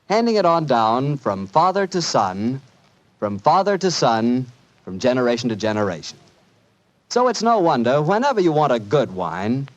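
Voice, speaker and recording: A man's voice: mid-range voice, no echo. An American man announcing in 1940s radio style, with folksy, polished salesmanship. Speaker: radio announcer and sponsor pitchman. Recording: muffled 1940s radio broadcast with music.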